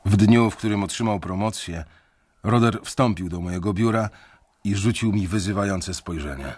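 Husky low-voiced male radio narrator. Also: darkish tone. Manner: measured, brooding first-person telling. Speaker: narrator and main character.

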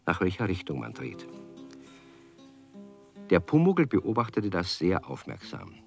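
A man's voice: sits low, heavily acted. A man narrating a children's radio tale as a genial storyteller, explaining things in scripted narration.

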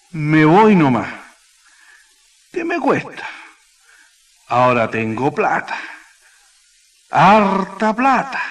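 An actor playing a homesick, plain-spoken Chilean miner from the south, talking in rustic, musing colloquial speech in a radio drama. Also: male voice, on the high side, a bit bright, distant mic, full character voice, low-quality recording.

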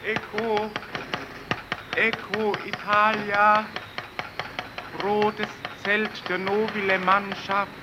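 Worn-out, excitable polar radioman, strikingly high, incantatory radio play delivery amid clear noise. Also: drawn-out words, long pauses. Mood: weary, insistent. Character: worn-out stranded radio operator, excitable, hopeful. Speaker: expedition radio operator. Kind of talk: German radio play acting. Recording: noisy old radio drama recording.

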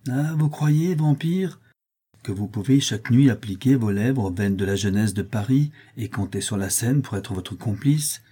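A man voicing a defiant young male scholar who speaks in a declamatory, accusing way with a husky voice. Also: medium pitch, expressive, normal speed, dark tone.

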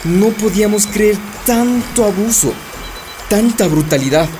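A woman playing an anguished Amazonian villager, telling her story gravely and with grievance in a radio drama. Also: mid-range voice, expressive, unhurried, noisy background, natural voice.

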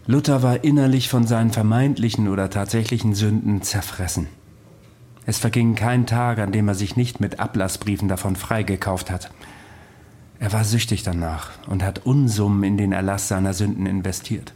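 An actor playing a self-assured man recounting his past in a radio drama, matter-of-fact and measured. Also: fairly low pitch, fairly even intonation, warm tone, lightly acted. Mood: calm, matter-of-fact. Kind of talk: radio drama dialogue, storytelling.

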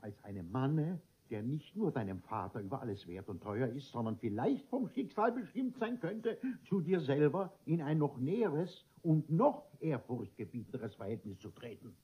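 Blustering, husky, pompous bourgeois father. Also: mid-range voice, very animated, normal speed, hardly any pauses. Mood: imperious. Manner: blustering, imperious, easily flustered, stammering when indignant. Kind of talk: theatrical classic comedy radio play acting. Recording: dull, band-limited old radio recording.

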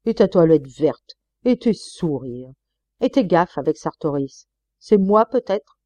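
A woman puts on a peevish, theatrical husband who whines and sneers, his voice pushed higher and louder than the narration. The sound is dull and close, with no room around it. Dark, mellow tone throughout. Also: expressive, a little slow, no room sound. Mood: sarcastic. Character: peevish snobbish middle-aged husband. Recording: slightly muffled dry recording.

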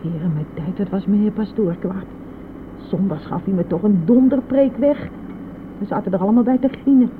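A woman's voice: mid-range voice, normal speed, lightly acted. An actress playing a flustered, simple, chatty old village woman in a radio play, hesitant and naive in her talk. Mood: gossipy. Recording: noisy, thin, phone-like old radio recording.

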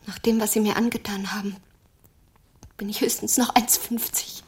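An actress playing a traumatized, bitter woman confiding in an old friend in a German audio drama, breathy and halting, often slipping toward a whisper. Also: mid-range voice, level tone. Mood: wounded, hushed.

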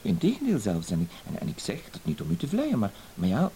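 Timid, hesitant husband, lively swooping pitch. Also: male voice, fast, gravelly. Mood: earnest, flustered. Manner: hesitant, stammering, polite, self-deprecating. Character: timid, apologetic, meek deceived husband. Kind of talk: radio play acting. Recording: hissy old radio play recording.

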